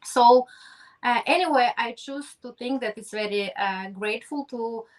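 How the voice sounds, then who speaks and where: A woman's voice: on the high side, unhurried. Effusive woman, distant mic. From Ukraine. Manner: effusive, grateful, non-native phrasing. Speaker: guest. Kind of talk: conversational interview.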